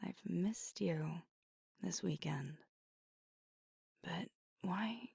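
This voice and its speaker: Woman as weary proud werewolf girlfriend, ASMR roleplay, hushed and breathy, unhurried halting delivery, very dry close sound. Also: lowish voice, no room sound, heavily acted. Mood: weary, defensive. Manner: hushed, halting, sighing, often slipping into whisper. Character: fiery, proud, weary young werewolf girlfriend confiding at night. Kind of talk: intimate ASMR roleplay audio drama.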